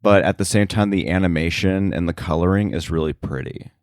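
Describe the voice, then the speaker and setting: A man speaking casually as an interview guest about his work, thinking aloud in a halting way. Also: low voice, dry recording, clean studio sound. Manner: halting, thinking aloud, frequent filler likes. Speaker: sound editor, interview guest.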